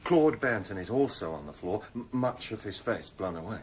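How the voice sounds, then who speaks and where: A man playing a tough British police detective in a radio drama, measured, controlled and matter-of-fact. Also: fairly low pitch, lively intonation, natural voice. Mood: sober reporting. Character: steady, tough male police detective in command. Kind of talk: 1990s radio drama acting.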